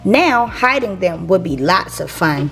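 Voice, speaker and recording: A woman reading a children's picture book aloud in an expressive, storytelling way for young listeners. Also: on the low side, a little slow, creaky, poor audio. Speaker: teacher reading picture books for a channel.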